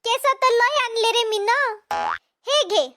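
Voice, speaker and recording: A woman's voice: extremely high pitch, slow, music in the background. A woman voicing a nosy, wheedling village housewife in a cartoon comedy, with a Vidarbha Marathi accent. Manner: wheedling, badgering. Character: pleading, nosy village housewives haggling for pots.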